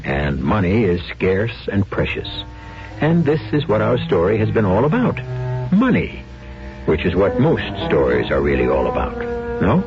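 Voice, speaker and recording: A man narrating as host of a radio drama, deliberate and confiding, in a theatrical storytelling style. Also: lowish voice, huge pitch swings. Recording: dull 1970s radio recording. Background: music.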